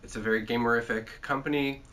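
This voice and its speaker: A congested man with a bright, forward tone hosts a relaxed chat. He rambles and jokes, filling pauses with plenty of filler sounds, and his voice sits at an ordinary middle pitch. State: congested from a cold. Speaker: host. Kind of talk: casual chat.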